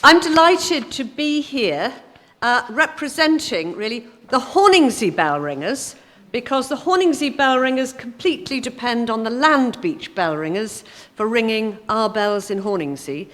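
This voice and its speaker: A woman speaking formally and deliberately to an audience as a guest poetry reader. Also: fairly high pitch, sing-song, a bit bright. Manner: deliberate, careful delivery. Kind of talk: formal introduction and poetry recital. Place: inside a church at a live event. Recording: long church reverb.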